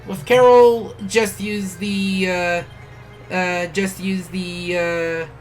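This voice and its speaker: Halting, low-pitched woman doing game commentary. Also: steady tone. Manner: halting, self-correcting, frequent hesitations. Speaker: video game player commentator. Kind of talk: casual gameplay commentary. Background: game music.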